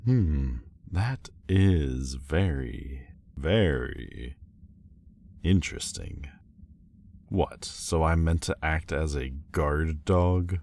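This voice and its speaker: Man playing a very deep-voiced, haughty demon lord. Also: a little mellow. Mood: indignant, amused. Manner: formal, haughty, halting. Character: proud male demon lord, reluctant bodyguard, guardedly vulnerable. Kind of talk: scripted roleplay audio drama. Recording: faint background noise and ambience. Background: faint ambient sound effects.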